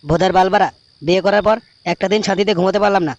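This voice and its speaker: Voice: medium pitch, steady tone, racing, lo-fi sound, natural voice. A performer voicing a foul-mouthed, irritable young male cartoon buddy in a comic dub. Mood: brash, griping. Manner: crude, swearing, brash.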